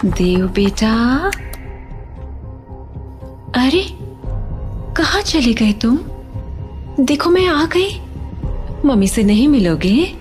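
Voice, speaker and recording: A woman playing a ghostly dead mother in a horror audio drama, coaxing insistently with sweet, drawn-out calls. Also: on the high side, very animated, a little mellow, soft background music, full character voice. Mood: eerie coaxing. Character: ghostly dead mother, coaxing and eerie, calling her child.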